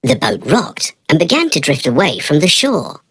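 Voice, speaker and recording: A woman's voice: deep voice, big pitch swings, moderate pace, husky, dry recording. A woman narrating a children's storybook from a script.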